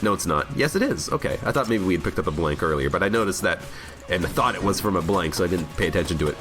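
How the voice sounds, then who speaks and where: A man's voice: low-pitched, lively intonation, quick pace. A man with a cold and a slightly worn voice, rambling cheerfully as he streams himself playing a game. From United States. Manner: rambling, chatty, self-mocking humor. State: has a cold, voice a bit worn. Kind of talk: casual live gameplay commentary. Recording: game music loud under voice. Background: game music.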